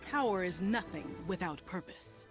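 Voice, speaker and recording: A woman playing a wise, resolute spiritual leader in a video game, speaking in solemn, measured aphorisms over music. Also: lowish voice, lively intonation. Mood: calm, wise. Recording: game audio with music behind.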